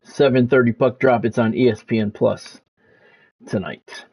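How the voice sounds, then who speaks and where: A man hosting a game livestream, talking sports to his viewers in measured, announcer-like phrases. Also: medium pitch, fairly even intonation, darkish tone, slightly rough. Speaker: game streamer and sports commentator, former radio play-by-play announcer. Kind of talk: livestream monologue, sports talk to chat viewers.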